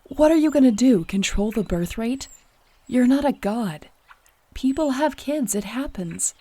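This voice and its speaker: An actress playing a defiant, principled young shapeshifter heroine in an audio drama, speaking with earnest, firm indignation. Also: female voice, on the high side, expressive, a little slow, warm tone, dry recording. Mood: indignant. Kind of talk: dramatic audio roleplay acting.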